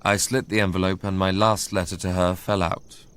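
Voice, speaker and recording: A man playing a brooding, proud young narrator in a radio drama, telling his story in measured literary phrases. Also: deep voice, little pitch movement, fairly bright tone, smooth. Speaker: first-person narrator.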